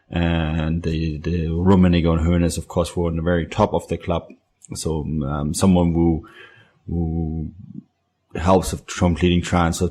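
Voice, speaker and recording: Low-voiced man explaining football news. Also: darkish tone. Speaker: football podcast analyst. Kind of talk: casual explanatory football commentary.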